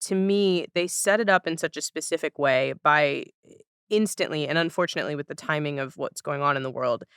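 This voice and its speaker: Female podcast host, clear smooth mid-range voice, dry close recording, thoughtful conversational delivery. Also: low voice, brisk, fairly bright tone, no echo.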